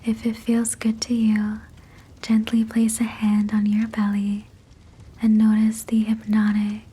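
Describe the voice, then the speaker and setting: A woman whispers a guided sleep hypnosis in a very breathy, husky tone. Her delivery is slow and almost completely level in pitch. She phrases everything gently and soothingly, like a bedtime talk-down. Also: completely flat delivery, poor audio.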